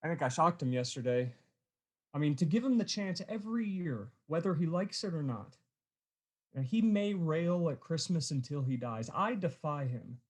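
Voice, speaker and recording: A jovial, kindly nephew in a radio play. The voice sits a little high for a man, earnest and full of good humor. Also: expressive, moderate pace.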